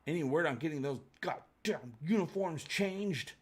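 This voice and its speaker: A man's voice: on the high side, lively intonation, normal speed, husky. A man hosting a fan livestream, chatting casually and answering viewers in a rambling, jokey, colloquial way. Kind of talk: casual chat, answering live viewer comments.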